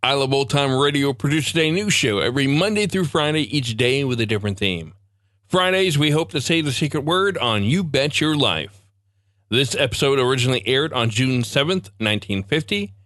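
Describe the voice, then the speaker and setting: A man's voice: mid-range voice, lively intonation, normal speed, a bit bright, no room sound, clean studio sound. A man hosting a podcast, reading a scripted introduction. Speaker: podcast host.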